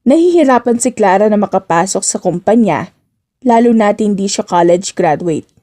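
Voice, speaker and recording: A woman's voice: mid-range voice, animated, normal speed, warm tone. A woman with a Filipino accent narrating a scripted story as an audiobook reader.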